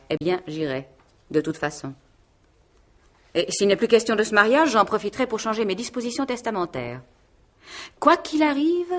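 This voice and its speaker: An actress playing a domineering, cold aunt in a radio mystery play, with an imperious, cutting and sarcastic manner. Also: female voice, mid-range voice, lightly acted. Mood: menacing. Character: domineering, cold aunt who rules the household.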